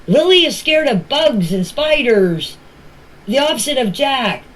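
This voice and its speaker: A woman's voice: high-pitched, sing-song, a little slow, poor audio. Middle-aged or older woman, plain-spoken. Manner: plain-spoken, emphatic, repeats herself. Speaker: family member giving her account. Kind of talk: informal personal account in voice messages. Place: voice clips recorded for a group chat.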